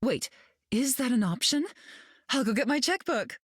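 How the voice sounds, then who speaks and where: A woman's voice: sits high, lively intonation, normal speed, slightly rough, no echo, clean studio sound. An actress playing a glamorous, cutting mutant queen in a video game, arch, flirtatious and condescending in manner. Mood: dry, condescending.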